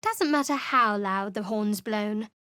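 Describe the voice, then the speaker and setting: A woman's voice: mid-range voice, measured pace, pushed and bright, clear, resonant tone, dry recording. An actress voicing a guarded, sardonic young female cleric in a video game, with a dry, sarcastic delivery. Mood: wry. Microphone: close studio mic.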